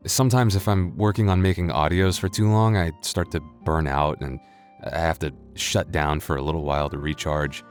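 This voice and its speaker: A man's voice: low-pitched, fairly even intonation, normal speed, faint music behind, close to an everyday voice. A man playing a sleepy alien computer who talks casually and apologetically to listeners, with hesitant delivery. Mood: sheepish, apologetic. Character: sleepy, sheepish alien computer persona of the channel. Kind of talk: casual in-character address to listeners.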